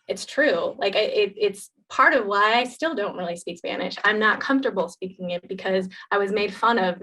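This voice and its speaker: A woman co-hosting a podcast, talking candidly in a casual chat with many pauses and restarts. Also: medium pitch, pushed and bright, poor audio. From United States. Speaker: podcast co-host.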